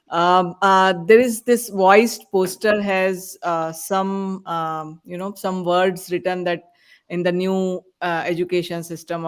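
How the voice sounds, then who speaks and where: A woman hosting a podcast panel in a casual way, often halting as she searches for words. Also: low voice, clear, resonant tone. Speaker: podcast host.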